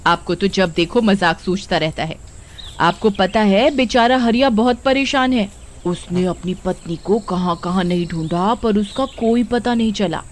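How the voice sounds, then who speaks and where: A woman's voice: mid-range voice, unhurried, a little hiss or hum, natural voice. A woman playing a sensible, nagging village wife who exclaims and frightens easily, in a cartoon story. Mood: scolding, concerned.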